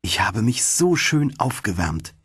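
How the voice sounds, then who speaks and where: A man voicing a husky, tender little swallow. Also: fairly low pitch, very animated, a little slow, a bit bright, no room sound, full character voice. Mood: weak, grateful. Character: gentle, weakened, grateful female swallow, small storybook bird. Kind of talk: storybook narration.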